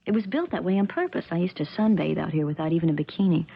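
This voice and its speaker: Spoiled young rich girl, cool scheming low voice, muffled old radio show. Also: animated, quick pace, gravelly, slightly rough, exaggerated voice. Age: young adult. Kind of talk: 1970s radio drama acting.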